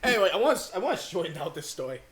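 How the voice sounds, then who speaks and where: A man co-hosting a casual podcast, laughing often and telling crude, lively stories. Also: sits high, very fast, a crowd in the background. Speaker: podcast co-host. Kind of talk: casual banter.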